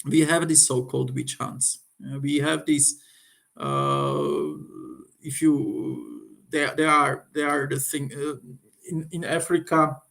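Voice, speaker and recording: A man from Slovakia with a Slovak accent gives an informal, unscripted review, halting as he searches for words. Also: fairly high pitch, creaky, a bit bright. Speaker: occult book reviewer.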